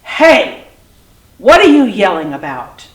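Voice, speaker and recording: A skittering sail-backed dinosaur voiced by a woman storyteller. It is loud, curt and unhelpful, with a scolding edge and an echoey room sound. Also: mid-range voice, sing-song, slow pace, distant mic.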